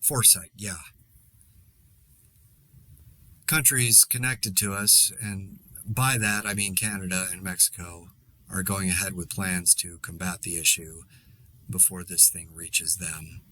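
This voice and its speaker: A man's voice: deep voice, little pitch movement, unhurried, pushed and bright, full character voice. A man playing an exasperated podcaster in a zombie outbreak, delivering a dry, sarcastic, rambling solo monologue in a conversational way. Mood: subdued, wry. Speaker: podcast host.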